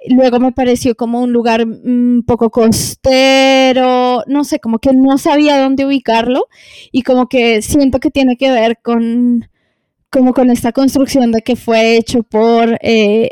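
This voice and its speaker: A woman's voice: a little high, level tone, measured pace, warm tone, clear, resonant tone, no room sound. A woman talking on a podcast in a casual way, hesitant and searching for words, with many fillers. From lived in Colombia.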